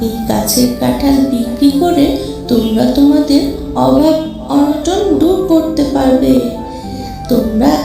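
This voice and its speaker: A woman voicing a kind, gentle magical tree spirit in a children's cartoon. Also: sits high, measured pace, vocal fry, pauses often. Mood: gentle, generous. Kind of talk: children's cartoon story acting. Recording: roomy echo, distant, music behind.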